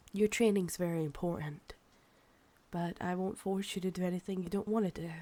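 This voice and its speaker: A Scottish woman voicing an ancient, insatiable female vampire in an ASMR roleplay, coaxing and sighing, breathy and often dropping to a whisper. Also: on the low side, mellow. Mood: possessive, reassuring.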